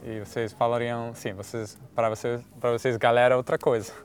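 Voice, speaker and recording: A man's voice: medium pitch, little pitch movement, brisk, clean tone. Italian guy talking portuguese, accent, stops and restarts to find words. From Italy. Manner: searching for words, many restarts. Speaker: guest. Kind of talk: casual conversation.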